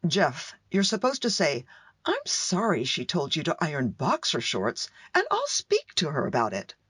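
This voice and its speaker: A woman playing a firm, irritated wife in a radio play, with a husky voice. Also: low-pitched, wildly swooping pitch, normal speed, fairly bright tone, full character voice. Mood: annoyed, firm.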